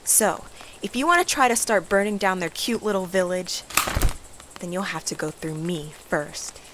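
A woman's voice: lowish voice, moderate pace, noisy background, close to an everyday voice. A woman playing an awkward, sarcastic girl in an audio drama, talking in a casual, wry way. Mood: defiant. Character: awkward, sarcastic girl, reluctant dream apprentice. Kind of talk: audio drama acting and narration.

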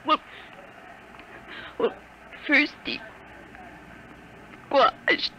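An actress playing a shaken young nursemaid in a 1950s radio drama, pleading, halting and tearful, on an old broadcast recording. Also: female voice, highs cut off. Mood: distraught. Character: shaken, hysterical young nursemaid who witnessed a death. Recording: bright, faintly noisy old radio broadcast.

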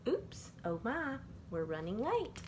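A middle-aged woman reading a rhyming children's picture book aloud in a measured, sing-song way, as bedtime stories from a bedroom. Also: on the high side, wildly swooping pitch. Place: small indoor bedroom. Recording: clearly noisy amateur home recording.

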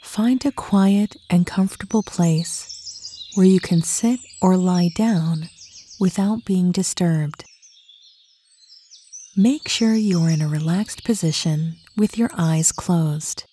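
A woman narrating a guided meditation in a calm, soothing, unhurried way, with a soft voice that turns breathy at phrase ends. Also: fairly low pitch. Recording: birdsong and nature ambience behind. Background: birdsong, outdoor nature sounds.

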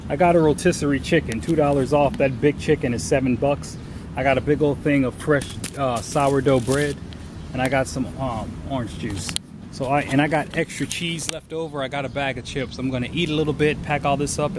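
A middle-aged man on a long-distance hike, talking casually and upbeat to a handheld camera outdoors, thinking aloud with fillers. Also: medium pitch. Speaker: thru-hiker vlogger. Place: outdoors on a forest trail and in a small town. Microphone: handheld camera at arm's length. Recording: outdoor camera audio with background noise.

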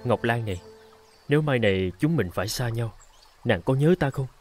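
A man's voice: medium pitch, lively intonation, moderate pace, darkish tone, soft background music, lightly acted. A man voicing a lovesick young village man in a cartoon, sorrowful and hesitant. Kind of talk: cartoon voice acting.

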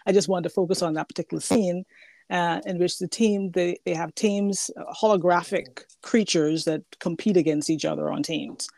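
A podcast host talking casually about films in a halting, self-correcting way. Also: mid-range voice, fairly even intonation, gravelly, darkish tone, no room sound. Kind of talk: casual movie discussion.

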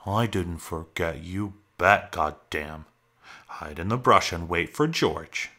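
A man's voice: mid-range voice, expressive, a little slow, sharp tone, voice pushed up. A man voicing a simple-minded, childlike big man in a storybook, anxious and pleading, with rustic, ungrammatical talk. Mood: muttering, worried.

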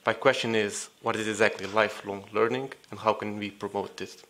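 A man's voice: on the low side, steady tone, fairly quick, fairly bright tone, slight background noise. A young man from Portugal takes the floor in a large conference hall to ask a question. He is polite, and restarts his opening a touch nervously before he settles. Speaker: audience member asking a question.

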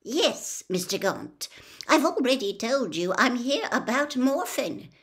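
Woman as an indignant, prim male official, pitched up. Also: sing-song, slow pace, heavily acted. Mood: coldly formal. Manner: tart, clipped, formal.

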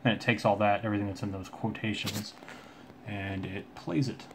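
A man giving a casual, unscripted walkthrough of a hobby tech project in a halting, hesitant way. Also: lowish voice, little pitch movement. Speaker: IT technician and hobbyist demonstrating a project. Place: indoors at a home desk. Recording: light background room noise.

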